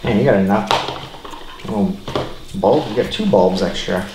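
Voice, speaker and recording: A man's voice: fairly low pitch, lively intonation, a little slow. A man casually explaining hands-on repair work as he does it. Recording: echoey room with background noise and clicks.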